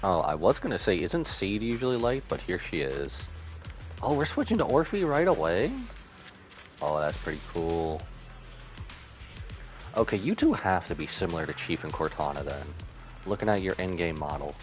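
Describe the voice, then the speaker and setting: A man's voice: low voice, sing-song, normal speed, long pauses, faint music behind. A man doing casual, playful commentary as he streams a video game, often exclaiming. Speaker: gaming streamer. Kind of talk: casual playthrough commentary, reading game dialogue aloud.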